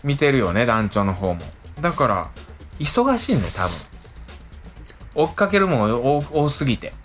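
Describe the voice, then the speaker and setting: A man co-hosting a radio show, chatting casually and colloquially, quick to agree and react. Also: medium pitch, big pitch swings, a bit bright, faint music behind.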